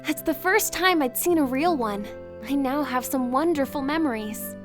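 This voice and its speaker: A woman voicing a shy, gentle teenage girl in a wheelchair, speaking softly, politely and hesitantly in a visual novel fan dub. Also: sits high, animated, moderate pace, over music. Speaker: voice actor.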